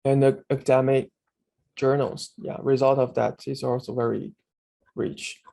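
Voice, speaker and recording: A man giving a screen-share tutorial on searching a database, speaking haltingly with many fillers and restarts. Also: medium pitch, steady tone, gravelly, mellow. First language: Chinese. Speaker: instructor demonstrating a database.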